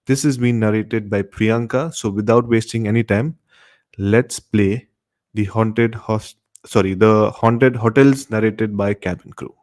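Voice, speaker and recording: A man's voice: on the low side, steady tone, measured pace, a little mellow. A man with an Indian accent hosting a live-streamed horror story show, addressing his listeners directly.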